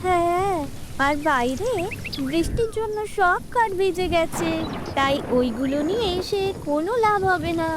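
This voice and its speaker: Woman playing an earnest, very high-voiced mother bird. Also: noisy background. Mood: worried. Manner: earnest, explaining. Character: polite, worried mother bird, caring and agreeable. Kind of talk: children's cartoon fairy-tale acting.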